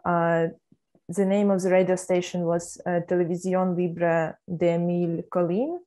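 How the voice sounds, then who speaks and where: A woman's voice: on the low side, steady tone, measured pace, warm tone. A woman hosting an online panel discussion, speaking hesitantly with many fillers. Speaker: host.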